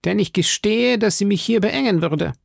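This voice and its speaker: A man voicing a boisterous, hot-headed musketeer with a loud, exclaiming manner in an audiobook story. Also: high-pitched, normal speed, vocal fry, dry recording, clean studio sound, voice pushed up, exaggerated voice. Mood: blunt.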